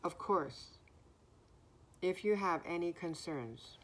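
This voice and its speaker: Slow, deep-voiced woman, a little hoarse. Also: animated. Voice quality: naturally deep voice. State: somewhat hoarse. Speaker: spiritual card reader. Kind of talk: spiritual message, slow and reflective.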